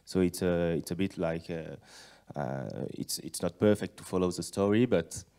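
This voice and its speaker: A man's voice: sits low, measured pace, warm tone, smooth. A young man with a French accent, a guest director explaining his work hesitantly on stage. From France. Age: young adult. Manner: hesitant phrasing. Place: cinema stage, indoors. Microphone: handheld microphone.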